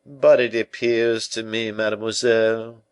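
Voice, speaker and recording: A man's voice: lowish voice, little pitch movement, unhurried, smooth, no room sound, voice pushed up, close to an everyday voice. Man voicing an insistent, grumbling court minister. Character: grumbling, scheming male royal minister.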